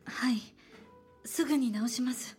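An actress playing a timid, earnest young aspiring artist in a radio drama, meek and polite. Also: female voice, a little high, measured pace, darkish tone, soft background music, close to an everyday voice.

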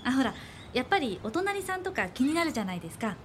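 An actress playing a timid, earnest young aspiring artist in a radio drama, meek and polite. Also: female voice, high-pitched, very animated, quick pace, a little hiss or hum. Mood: curious.

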